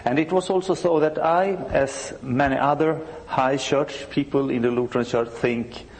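A Swedish man in his sixties from the north of the country, a church musician being interviewed, speaking halting English and often restarting to search for words. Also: mid-range voice, fairly even intonation, rough recording. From northern Sweden.

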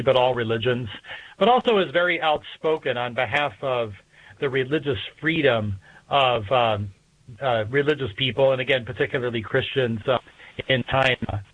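A man speaking as an expert guest in an interview, explaining in a measured, hesitant way with pauses between phrases. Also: mid-range voice. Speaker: guest expert, political science professor. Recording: dull lo-fi remote radio connection.

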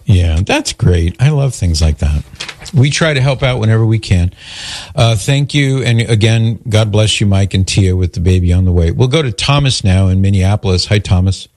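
A man hosting a religious call-in radio show, explaining things in a measured, careful, conversational way. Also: fairly low pitch, animated, darkish tone.

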